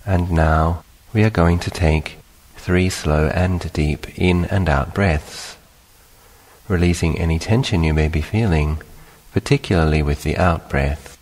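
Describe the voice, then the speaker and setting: Male meditation teacher, extremely low voice, calm guided delivery with long pauses, heavy reverberant hall sound.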